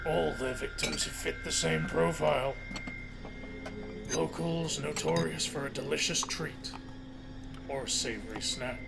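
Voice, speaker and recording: A man playing a wry, probing, quietly threatening lawman in a noir audio drama. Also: on the high side, measured pace, gravelly, pauses often, over loud music.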